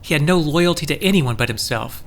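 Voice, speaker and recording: A man's voice: on the high side, animated, brisk, a bit bright. A man playing an earnest, troubled, lovelorn farm resident in an audio drama, speaking confidingly. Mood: troubled, regretful. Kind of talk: scripted audio drama acting.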